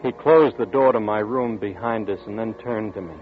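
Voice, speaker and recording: A man's voice: on the low side, moderate pace, close to an everyday voice. An actor in a 1940s radio drama narrating as a nervous, guilt-ridden man, with a tense, brooding delivery. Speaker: narrator and character Vince. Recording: tinny old radio broadcast with music.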